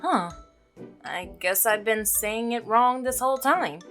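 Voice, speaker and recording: A woman's voice: on the high side, lively intonation, unhurried, fairly bright tone, faint music behind. A woman reading the lines of a chatty, friendly young male milkman in a visual novel game, in a cheerful, rambling way. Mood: upbeat chatter. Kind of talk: reading game dialogue aloud.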